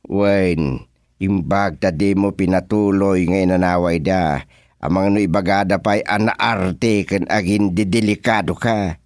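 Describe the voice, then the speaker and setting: Slow-talking Filipino father played by a man. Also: low voice, fairly even intonation, darkish tone, dry recording, clean studio sound. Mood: grumbling. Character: excitable father fretting over his lost cellphone. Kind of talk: Ilocano radio comedy drama acting.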